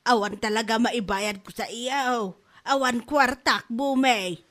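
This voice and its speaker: A woman's voice: fairly high pitch, slow pace, a bit bright. A woman playing a hard-up Filipino mother full of excuses, melodramatic, with an Ilocano accent, in a comedy drama. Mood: pleading. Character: hard-up, excuse-making Filipino mother dodging her debts.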